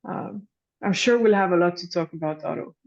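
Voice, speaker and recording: A woman's voice: on the low side, a little fast. Woman lecturer chatting loosely with the class, a bit muffled lo-fi audio. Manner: conversational, frequent fillers and restarts. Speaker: guest lecturer. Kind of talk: informal lecture and discussion.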